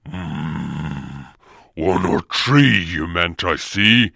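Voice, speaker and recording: A man voicing a huge, gruff, suspicious bear man in a booming, gravelly voice for a storybook reading. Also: animated, pushed and bright, no room sound, studio quality, full character voice. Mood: grumbling, blunt. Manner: slow, blunt, grumbling.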